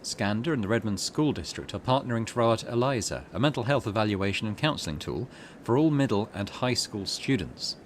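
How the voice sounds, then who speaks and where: A man's voice: lowish voice, slight background noise. A man giving casual let's-play commentary as a gaming host, thinking aloud and reading on-screen text out.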